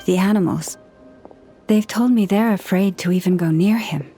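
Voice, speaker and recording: An actress voicing a calm, earnest young woman leader who speaks in a quiet, measured, solemn way. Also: medium pitch, faint music behind, lightly acted. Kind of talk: video game dub acting.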